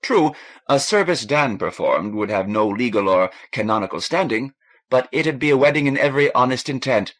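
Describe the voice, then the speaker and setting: A man voicing a warm, frank Irish woman, a ship's doctor, in a lilting, coaxing manner for an audiobook. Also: mid-range voice, animated, moderate pace. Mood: encouraging, frank.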